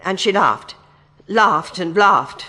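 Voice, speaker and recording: An actress playing a defensive, stubborn village nurse giving evidence as a witness in a British radio drama. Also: female voice, mid-range voice, expressive, takes it slowly, sharp tone, heavily acted.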